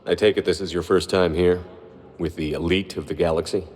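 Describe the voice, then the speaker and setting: An actor playing a resolute military commander in a video game, measured, terse and earnest. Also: male voice, low-pitched, fairly even intonation, darkish tone, faint music behind. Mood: grave. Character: resolute military commander, adult man, grave and steady. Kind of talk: video game dialogue acting. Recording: reverberant hall sound.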